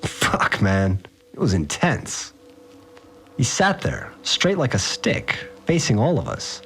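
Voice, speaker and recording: An actor playing an awed, rough-spoken working man who recounts strange events in a slangy, rambling audio diary for a fiction podcast. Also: mid-range voice, big pitch swings, slow pace, a bit bright, faint music behind. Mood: awed, stirred. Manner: slangy, rambling, unsure of his words. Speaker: fixer, a manual worker.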